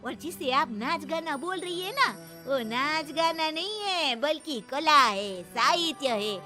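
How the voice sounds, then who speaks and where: A woman playing a cheerful, sharp-tongued young daughter-in-law with a bold, teasing manner in a cartoon story. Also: high-pitched, animated, slow, soft background music, exaggerated voice. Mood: defiant. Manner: bold, sassy, teasing, with tag questions. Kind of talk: animated Hindi moral story acting.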